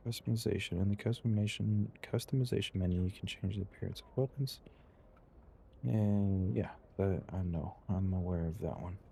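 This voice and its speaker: Male streamer, low creaky voice, dark tone, dry offhand asides, light noise behind. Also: steady tone, fairly quick. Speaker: game streamer. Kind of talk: casual live gameplay commentary.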